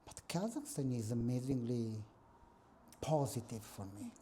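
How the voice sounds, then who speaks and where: A middle-aged man with an Italian accent, a guest professor chatting in a small office. His voice is very dark and mellow, and he makes dry, self-mocking jokes. It sounds picked up by a camera some way off. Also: mid-range voice, very animated, measured pace, slight background noise. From northern Italy. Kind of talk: casual interview. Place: small office room with table.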